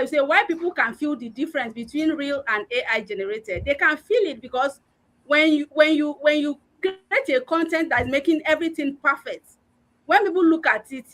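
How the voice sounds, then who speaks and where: A woman's voice: high-pitched, a bit bright. A woman giving an earnest, personal talk as a panelist on a live online discussion, with frequent filler words. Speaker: learning and development head.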